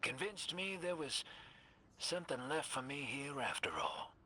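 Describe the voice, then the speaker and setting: A man's voice: mid-range voice, lively intonation, unhurried, bright, forceful tone, slight background noise. An actor playing a weary male frontier scavenger with a drawling, folksy, reflective manner. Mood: wistful. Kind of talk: video game voice acting.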